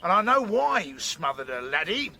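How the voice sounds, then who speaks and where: A man's voice: high-pitched, very animated, normal speed, creaky, bright, forceful tone, heavily acted. A man playing a rude, boastful, grumbling British chief inspector in a radio drama, blunt, sarcastic and pompous. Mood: sardonic.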